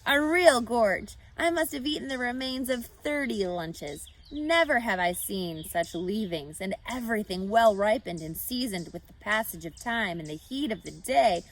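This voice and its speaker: Woman putting on a hoarse, gluttonous male rat. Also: a little high, expressive, normal speed, hardly any pauses, poor audio. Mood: sated, gloating. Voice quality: husky, hoarse. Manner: drawling, relishing.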